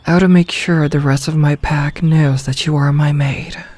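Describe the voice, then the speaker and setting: A woman playing a possessive, commanding female werewolf pack leader in an ASMR roleplay, speaking slowly and with authority. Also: deep voice, fairly even intonation, a little mellow, no room sound. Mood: possessive, calm.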